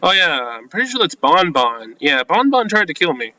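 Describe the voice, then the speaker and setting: Male let's-player, forward bright tone, informal read-aloud of visual novel text with off-the-cuff reactions, very dry recording. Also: fairly high pitch, very animated, moderate pace, dry recording, rough recording. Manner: informal, reacts with asides between read lines. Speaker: video game let's-player. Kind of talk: reading visual novel script aloud with casual commentary.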